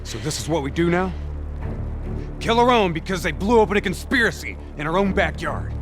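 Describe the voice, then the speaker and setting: An actor playing an angry, forceful military operative in a video game, heated and confrontational. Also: male voice, high voice, lively intonation, a little fast, music in the background. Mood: angry, urgent. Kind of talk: cinematic video game acting.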